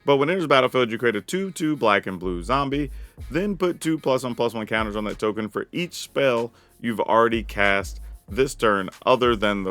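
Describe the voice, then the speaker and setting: A man with a raspy voice giving an upbeat, casual rundown of his card game deck as a channel host. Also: medium pitch, fairly bright tone, no echo. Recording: dry close mic with faint music.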